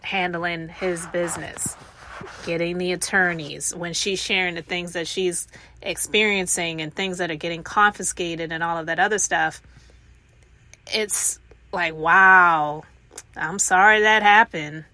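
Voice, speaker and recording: A woman's voice: on the low side, fairly even intonation, measured pace, pushed and bright, no echo. A woman giving reaction commentary as the host of a channel.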